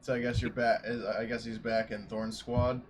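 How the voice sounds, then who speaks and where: A man's voice: mid-range voice, little pitch movement, normal speed, a little hiss or hum. A man chatting casually as a player in a tabletop roleplaying session. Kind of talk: casual game-session chat.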